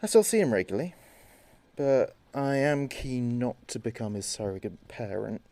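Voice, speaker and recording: An actor playing a grieving son who talks reflectively and haltingly to his late father in an audio drama monologue. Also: medium pitch, lively intonation, dark tone, no room sound, lightly acted. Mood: subdued, wistful.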